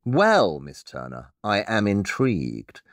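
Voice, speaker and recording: A man's voice: fairly low pitch, huge pitch swings, slow, dry recording, exaggerated voice. A man playing a blunt, sceptical detective in an audio drama, with a dry wit and theatrical manner. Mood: intrigued.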